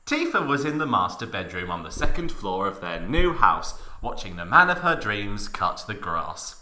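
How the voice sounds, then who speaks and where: A man's voice: medium pitch, pushed and bright, reverberant. A young man giving a theatrical, mock-dramatic reading of a story as a comic narrator, with exaggerated emphasis. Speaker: podcast narrator.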